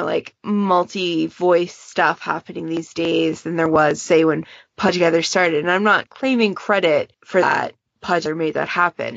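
A woman's voice: on the low side, vocal fry, a bit bright, no room sound, highs cut off. A woman in a casual panel chat, explaining things in an informal, chatty way. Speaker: podcast panelist and fan project moderator.

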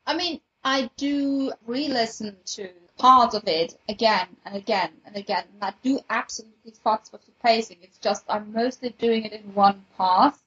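Woman, non-native English speaker, podcast panelist. Higher pitch, slightly husky, informal chat, a bit dull-sounding audio. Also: normal speed, few pauses, a bit bright. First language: a language other than English. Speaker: podfic creator and podcast panelist.

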